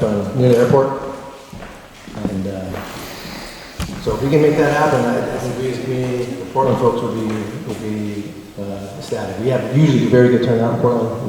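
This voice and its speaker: A man hosting a community forum, talking in a low voice with many fillers and restarts, using a handheld mic in a large wood-paneled hall. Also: dark tone, slight background noise. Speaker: forum host. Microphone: handheld mic, heard from a far camera. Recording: echoey hall from far off.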